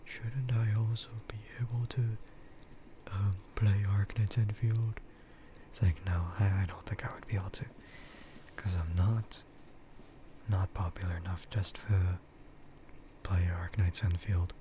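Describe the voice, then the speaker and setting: Low, whispering man, slow and hesitant. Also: steady tone, lo-fi sound, noisy background. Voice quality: breathy, mostly whispered voice. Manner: unhurried, hesitant, rambling. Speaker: livestreamer and content creator. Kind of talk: whispered ASMR livestream chat.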